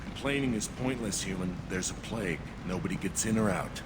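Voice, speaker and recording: An actor playing a gruff, cynical alien checkpoint guard in a game, talking in a dry, curt and dismissive way. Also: male voice, low voice, brisk, natural voice. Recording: reverberant, with loud background noise.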